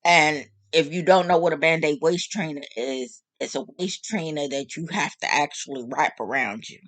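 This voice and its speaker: A woman in her late fifties talking openly to her audience in a casual, animated, joking monologue. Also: sits low, normal speed, no echo. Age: 50s. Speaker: vlog host.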